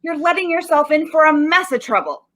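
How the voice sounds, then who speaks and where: A woman's voice: sits high, animated, fairly quick, fairly bright tone. A woman playing a plain-spoken, placating police detective in 1940s radio style, with folksy, slangy, pleading delivery. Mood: alarmed.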